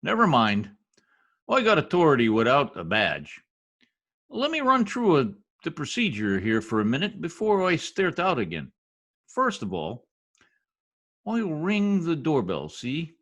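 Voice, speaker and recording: Man acting bumbling self-important husband, 1950s radio comedy, extremely animated pitch, folksy slang, cocky. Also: a little slow, exaggerated voice. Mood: confident, explaining. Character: cocky, bumbling middle-aged husband turned nosy census official.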